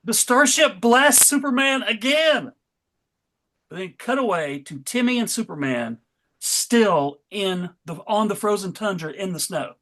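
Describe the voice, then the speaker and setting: A man's voice: high-pitched, expressive, unhurried, sharp tone. A man hosting and narrating a comic book table read in a dramatic storytelling style. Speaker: narrator and host.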